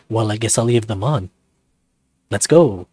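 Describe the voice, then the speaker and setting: A man's voice: lowish voice, slow, mellow, slightly rough, no room sound. A man voicing a playful, laid-back young male dragon, the roommate in a visual novel, talking casually and cheekily.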